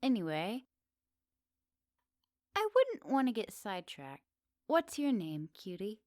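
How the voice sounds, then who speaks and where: A flirty witch of the woods, played by a woman, speaks straight to the listener in an ASMR roleplay. She is unhurried, teasing and gently doting, with quaint good manners. The sound is dry and intimate. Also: mid-range voice, huge pitch swings, no echo. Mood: curious, teasing. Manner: teasing, gently doting, slightly old-fashioned politeness.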